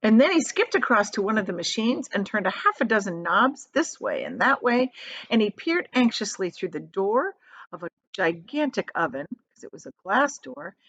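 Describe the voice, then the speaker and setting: Woman, teacher read-aloud, expressive, very varied intonation, dramatic word emphasis, slightly lo-fi sound. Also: medium pitch, normal speed.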